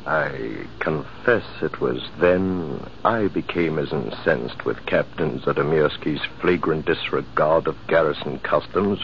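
A man narrating a 1940s radio drama in a measured, reflective way, on a dull, hissy old recording. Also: extremely low pitch, gravelly. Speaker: narrator and officer character.

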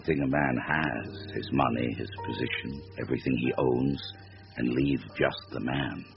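Radio drama devil: extremely deep, crackling, courteous, tired old tempter, on muffled audio. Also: male voice. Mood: calm, knowing. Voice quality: very deep, gravelly. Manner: unhurried, philosophical, world-weary. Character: Satan as a weary, courteous, wager-making old stranger. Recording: dull lo-fi audio with music behind.

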